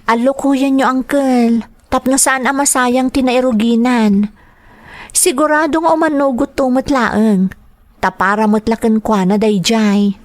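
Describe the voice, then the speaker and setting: A hopeful, dutiful young daughter acted by a woman in a radio drama. Her voice sits fairly high with a Filipino accent and earnest delivery, captured close and without room echo. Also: fairly even intonation, unhurried, natural voice. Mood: earnest, encouraging. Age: young adult. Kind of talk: Ilocano radio drama acting.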